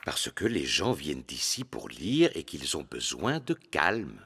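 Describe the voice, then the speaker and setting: A man's voice: very deep voice, wildly swooping pitch, a little slow, gravelly, fairly bright tone, husky, exaggerated voice. A man voicing a jolly, bumbling daddy pig in a French children's cartoon. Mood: hushed.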